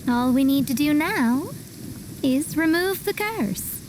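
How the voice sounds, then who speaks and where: A woman's voice: sits high, animated, slow, noisy background. A woman playing a proud, analytical young astrologist in a video game, composed and precise. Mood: incredulous, composed. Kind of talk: video game character acting. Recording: clear rain ambience behind the voice.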